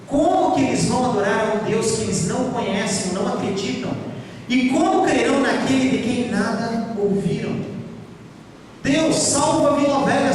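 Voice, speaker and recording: A man's voice: extremely high pitch, slight background noise. A man preaching a sermon with passion, his voice rising and falling as he acts out biblical speech to the congregation. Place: church hall. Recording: echoey hall, from far off.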